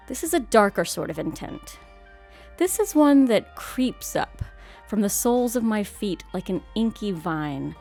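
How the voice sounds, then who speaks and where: A woman's voice: medium pitch, darkish tone. Wry, theatrical woman host, music behind. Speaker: host of a radio horror show. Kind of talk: scripted introduction narration.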